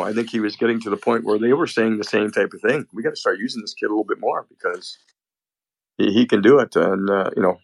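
Male analyst, gravelly, dry recording, animated chatty delivery. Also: lowish voice, dry recording, low-quality recording. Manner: animated, restarts often. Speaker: hockey analyst. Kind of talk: casual sports chat.

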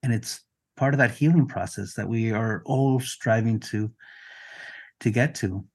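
A man's voice: medium pitch, a little slow, breathy edge. A man from Mexico, a native Spanish speaker, talking earnestly and reflectively as a guest in a conversational podcast interview. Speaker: podcast guest, music collection digitizer.

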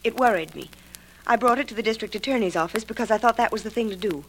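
An actress playing a brassy stage star in an old radio drama, slangy and direct. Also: female voice, fairly high pitch, quick pace, natural voice, poor audio. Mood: worried. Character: brassy, wisecracking female Broadway stage star. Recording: old radio recording with faint noise.

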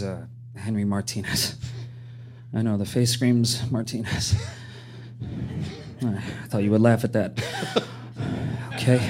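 A man's voice: a little high, a bit bright, slight background noise. An actor playing a beaten-down, sarcastic man of about thirty in a comedy script reading. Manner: sarcastic, exasperated. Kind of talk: comedy script table read.

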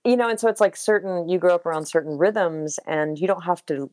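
A woman's voice: on the low side, a little fast, a little mellow, no room sound. An American woman talking reflectively in a casual interview, with many fillers and laughs. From United States. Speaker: singer-songwriter guest.

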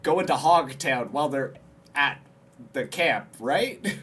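Laughing man with a lively voice. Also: a little high, slow, husky. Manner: joking, laughs often. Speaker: podcast co-host. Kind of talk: casual comedy podcast chat.

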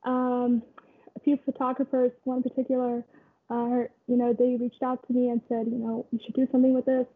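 A young woman in her twenties, originally from Kenya, telling her story as an interview guest in a relaxed way, with many fillers. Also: high-pitched, creaky, slightly rough. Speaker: guest, photographer and community founder. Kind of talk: conversational interview. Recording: tinny phone-like line.

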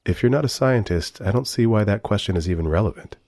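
Very deep, formal male android. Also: lively intonation, darkish tone. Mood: guarded, proud. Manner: formal, deliberate, articulate phrasing. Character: male humanlike AI android, measured, proud and wistful. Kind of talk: sci-fi audio drama dialogue and narration.

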